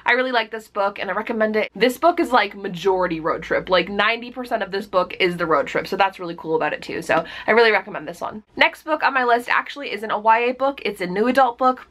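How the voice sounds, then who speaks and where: A woman's voice: on the high side, a bit bright. Chatty young woman recommending books. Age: young adult. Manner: chatty, upbeat, frequent "like" and "kind of". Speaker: book vlogger. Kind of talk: casual book recommendations to camera. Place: small bedroom, indoors.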